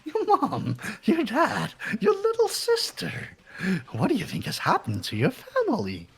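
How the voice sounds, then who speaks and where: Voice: sits high, huge pitch swings, a little slow, vocal fry, fairly bright tone, slightly rough, soft background music, heavily acted. A performer voicing a sadistic, mocking male bear mastermind in a fan dub of a video game, taunting and giggling in a sing-song way.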